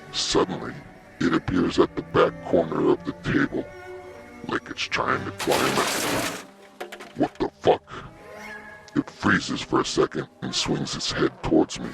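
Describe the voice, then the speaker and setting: A man narrating a first-person horror story in a theatrical, suspenseful manner, with a gravelly voice. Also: low voice. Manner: theatrical, suspenseful, with acted exclamations and gasps.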